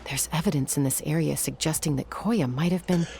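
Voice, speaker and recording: An actress voicing a calm, resolute young woman in a game, steady and earnest. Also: sits low, fairly quick, creaky, slight background noise. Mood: serious. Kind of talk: game dialogue.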